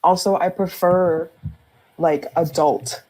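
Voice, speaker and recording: A woman talking casually and candidly as a podcast guest, informal and quick to laugh as she speaks. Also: lowish voice, fairly even intonation, warm tone, poor audio. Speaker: fan fiction writer, podcast guest.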